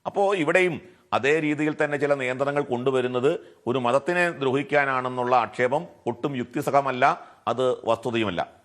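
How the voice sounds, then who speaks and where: A man's voice: medium pitch, normal speed, a bit bright. A man with a Malayali accent arguing his case as a television debate panelist, often posing rhetorical questions. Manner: argumentative, poses rhetorical questions.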